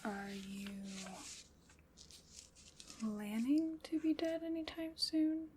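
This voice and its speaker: A woman playing an ancient undead woman who commands a skeleton army, in a soft, dry, teasing whisper for an ASMR roleplay. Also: high voice, animated, slow, slight background noise.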